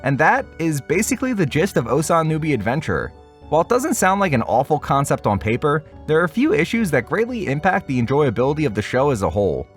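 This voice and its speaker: Male video essay host, lively intonation, sardonic casual asides over scripted narration, light music underneath. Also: fairly high pitch, fast. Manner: wry, sarcastic asides.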